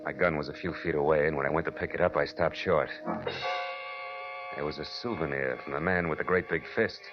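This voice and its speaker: A man's voice: rumbling low, steady tone, brisk. An actor playing a wry, tough American private detective who narrates his case in a dry 1940s radio style. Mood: tense, wry. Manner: dry, wisecracking. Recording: dull vintage radio recording, music behind.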